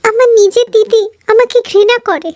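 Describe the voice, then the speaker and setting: Kind young cartoon heroine, voiced by a woman: very high, meek, humble. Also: normal speed, mellow, no echo, clean studio sound. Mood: hurt. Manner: meek, wounded. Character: kind, hurt, humble young sister, rejected for dark skin.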